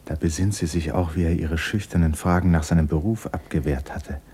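Very deep, husky male narrator. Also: fairly even intonation, brisk, mellow. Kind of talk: scripted literary radio play narration.

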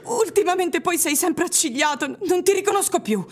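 An actress playing a frightened, fed-up wife in a radio drama, pleading in an agitated, halting way and repeating her words. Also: extremely high pitch, animated.

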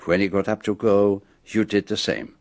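An actor playing a brilliant, self-assured foreign detective with a French accent, explaining precisely and theatrically in a radio drama. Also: male voice, fairly low pitch, steady tone, normal speed, darkish tone, no room sound, lightly acted. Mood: methodical, accusing. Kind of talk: BBC radio drama acting.

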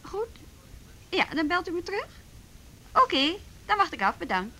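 Busy wry policewoman in a radio play, bright highish voice, bit of hiss behind. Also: sing-song, normal speed, heavily acted. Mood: polite, businesslike. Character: busy, wry female youth police officer. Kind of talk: naturalistic Dutch radio drama dialogue.

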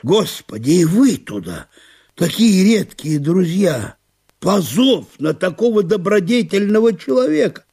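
A man's voice: sits high, very animated, measured pace, darkish tone, husky, voice pushed up, full character voice. A man playing a genial, fussy, easily astonished provincial judge with a courteous, exclamatory manner in an audio drama. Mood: shocked.

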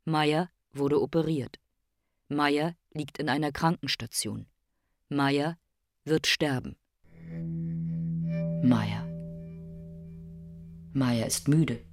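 An actor playing a detached narrator in a radio drama, speaking in terse, clinical, emphatic statements. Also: male voice, fairly high pitch, fairly even intonation, very slow, pauses often, soft background music. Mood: detached, grave. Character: detached clinical male narrator reporting a man's decline.

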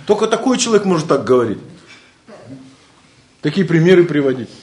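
A man from Siberia giving an informal spiritual lecture, joking and colloquial, swinging between loud bursts and quiet asides. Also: on the high side, very animated, a little fast. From Siberia, Russia.

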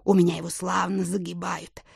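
An actress playing a superstitious, stubborn old widow landowner in an audio drama, with a folksy, hesitant, fretful manner. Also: female voice, lowish voice, little pitch movement, a little fast, vocal fry, breathy edge, dry recording, clean studio sound, heavily acted. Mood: scheming, mild. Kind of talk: audio drama acting of classic literature.

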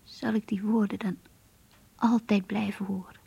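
An actress playing a lonely, melancholy young working woman in a radio play, confiding her thoughts in a hushed, introspective way. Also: medium pitch, steady tone, a little slow, vocal fry, slightly rough, no room sound, muffled recording, exaggerated voice. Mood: hurt. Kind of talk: Dutch radio play monologue.

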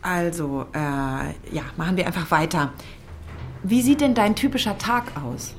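An actress playing a curious freelance journalist in a naturalistic radio drama, polite, a little hesitant and gently skeptical. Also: female voice, lowish voice, expressive, a little slow, faint music behind.

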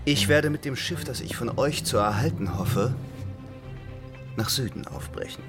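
A man acts a disciplined naval officer in a radio play. He speaks formally at a middle pitch, cool and determined. Faint music sits behind him. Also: close to an everyday voice. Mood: coolly strategic. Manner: formal, measured, reserved. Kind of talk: German radio drama acting.

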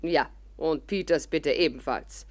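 Casting: grand elderly theatre diva for vintage radio play; haughty, declamatory, proud, prying. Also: female voice, low voice, unhurried. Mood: haughty, commanding. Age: older. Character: imperious, vain old stage actress, proud and nosy. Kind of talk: 1950s German radio drama acting. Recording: 1950s radio play recording.

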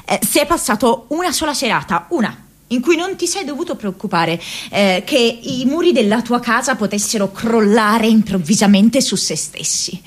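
A woman's voice: fairly high pitch, animated, normal speed. A woman playing an earnest, troubled young American activist in a radio theatre diary monologue, hesitant and searching for words. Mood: indignant, pained.

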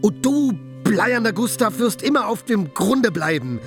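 A man's voice: high voice, big pitch swings, unhurried, gravelly, soft background music, heavily acted. A man playing a brooding, fanciful poor dreamer in a radio drama, speaking in a declamatory, poetic way. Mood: bitter, brooding. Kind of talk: theatrical radio drama acting.

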